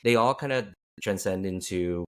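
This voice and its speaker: A man's voice: low voice, normal speed, a bit bright. A man hosting a casual interview, rambling with many restarts and fillers. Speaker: podcast host.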